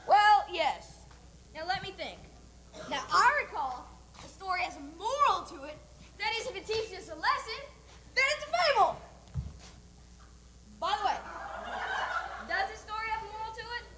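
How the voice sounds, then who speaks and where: An excitable storybook royal played by a woman, grand and pompous in an amateur play. The voice is very high and forceful with big swings, over faint noise. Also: normal speed, pauses often, exaggerated voice. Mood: puzzled. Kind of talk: amateur stage play acting.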